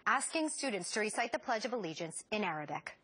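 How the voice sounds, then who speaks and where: A woman news anchor introducing a segment and questioning a guest in brisk, inquisitive fashion on live TV. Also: mid-range voice, sing-song, a bit bright, husky, dry recording, low-quality recording.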